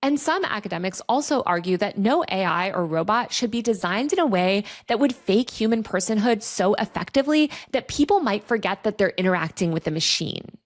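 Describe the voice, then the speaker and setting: A woman's voice: mid-range voice, expressive, fairly quick, hardly any pauses. A woman delivering video essay commentary as a tech reporter, speaking in an earnest, articulate and lightly conversational way.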